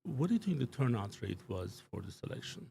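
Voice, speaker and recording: Male studio guest: low, gravelly, emphatic, loose with fillers; slight background hiss. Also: very animated, a little fast, mellow. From Turkey. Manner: emphatic, rambling, frequent fillers and restarts. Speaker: show guest commentator. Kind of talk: live studio political talk. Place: small studio.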